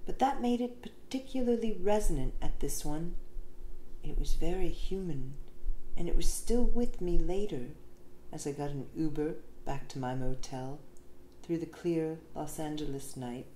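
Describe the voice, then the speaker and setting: A woman's voice: deep voice, warm tone. A woman reading an essay aloud as scripted narration, in a roomy recording with faint noise behind her. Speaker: reader of an essay.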